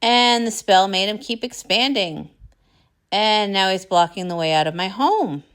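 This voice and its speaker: A woman's voice: medium pitch, expressive, a little slow, a bit bright, smooth, pitched below the performer's own voice. A woman voicing a grumpy, self-pitying old male wizard pony in a storybook, grumbling in defeat. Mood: rueful, grumbling.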